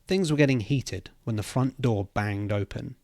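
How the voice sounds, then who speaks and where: A man's voice: medium pitch, moderate pace, darkish tone, no echo, studio quality. A man giving a dramatic reading of a story as its narrator. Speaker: reader.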